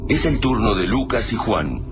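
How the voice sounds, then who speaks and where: An actor narrating a radio drama as a grave, literary storyteller with an Argentine accent. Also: male voice, on the low side, very animated, normal speed, over music. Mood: grave, ominous. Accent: Argentine Spanish. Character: grave storyteller of a doomed survival tale. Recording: noisy background ambience.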